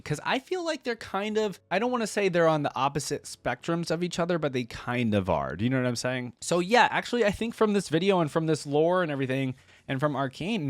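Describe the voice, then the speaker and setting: A man's voice: mid-range voice, no room sound. A young man hosting a casual reaction video, talking in a rambling, emphatic, chatty way. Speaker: YouTube reaction host.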